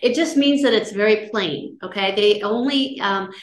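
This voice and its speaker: Chatty woman presenter with a loud voice. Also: mid-range voice, moderate pace. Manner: chatty, conversational, checks in with listeners. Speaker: career services presenter. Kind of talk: casual lecture on job searching. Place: office, indoors, on a video call. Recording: roomy video-call audio.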